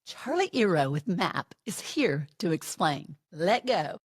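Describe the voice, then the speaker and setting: A woman's voice: fairly low pitch, sing-song, a little slow, slightly rough, no room sound, clean studio sound. A woman hosting a podcast interview, upbeat and enthusiastic as she asks her questions. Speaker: podcast host.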